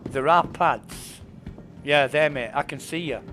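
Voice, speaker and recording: A man's voice: a little high, measured pace, fairly bright tone, soft background music. A man from England with a Yorkshire accent, streaming a space game and patiently talking a friend through where to land, step by step. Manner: patient, explains directions step by step. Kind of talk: casual gaming chat with friends.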